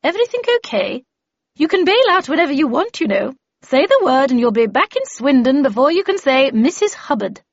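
A woman voicing a brisk, imperious, battle-hardened older female mentor in an audiobook, with clipped, commanding, matter-of-fact delivery. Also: high voice, very animated, dry recording, dull audio, pitched above the performer's own voice. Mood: reassuring. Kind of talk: audiobook character voice.

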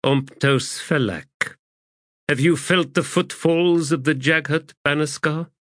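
Guy doing a stern army commander lady, higher pitched, clipped and formal, audiobook. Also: takes it slowly, fairly bright tone, no echo, studio quality. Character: stern, commanding female military leader.

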